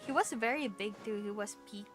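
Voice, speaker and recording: A young woman streams a game and chats off the cuff in an informal, musing way. Her voice sits at a medium pitch, with faint music behind it. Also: brisk. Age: young adult. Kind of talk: casual live stream chat.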